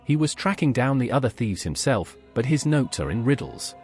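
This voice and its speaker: A man's voice: medium pitch, big pitch swings, normal speed, darkish tone, natural voice. A synthetic man's voice as a game's player character, asking plain, direct questions. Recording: game music underneath. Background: game music.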